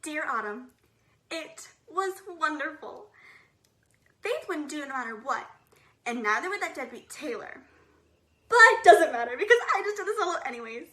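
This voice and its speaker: In an echoey room, an actress plays a smug teenage girl in a stage letter monologue. Her voice is high and sharp, and she sounds thoroughly self-absorbed. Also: lively intonation, moderate pace, pauses often. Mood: smug, gushing.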